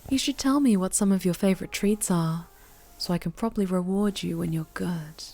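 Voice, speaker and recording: A woman's voice: on the low side, a little fast, soft background music. A woman playing an obsessive winged superheroine captor in an ASMR roleplay, murmuring with doting, condescending tenderness and slipping into whispers. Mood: sweetly possessive. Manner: soft, cooing, condescending tenderness, drifting into whispers.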